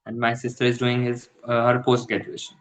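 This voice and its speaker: A young man from India with an Indian accent, a Hindi speaker practicing English in casual conversation, halting and full of fillers as he searches for words. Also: medium pitch, completely flat delivery, fairly bright tone, echoey room, poor audio. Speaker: English learner. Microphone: video call.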